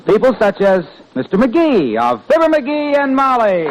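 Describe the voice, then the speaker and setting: A man with an American accent announcing and pitching in polished, salesmanlike 1950s radio style. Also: huge pitch swings, moderate pace, heavy background noise.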